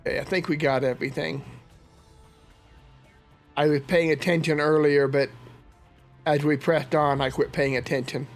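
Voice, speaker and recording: A man's voice: fairly high pitch, level tone, moderate pace, long pauses. A man hosting a game playthrough, casually commenting as he plays, slightly sniffly from a cold. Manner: chatty, talks to his squad and viewers. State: stuffy from a cold. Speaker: let's play host. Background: game music.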